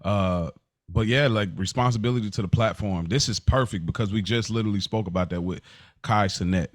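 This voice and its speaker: A man hosts a casual podcast in a lowish voice. His talk is slangy and animated, recorded very dry with little room sound. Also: brisk, dry recording. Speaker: podcast host.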